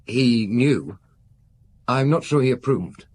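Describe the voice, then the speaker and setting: A man's voice: mid-range voice, expressive, slow pace, darkish tone, breathy edge. A man playing an earnest, nervous young aristocrat in a radio drama, speaking politely and confidingly with hesitant restarts. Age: young adult.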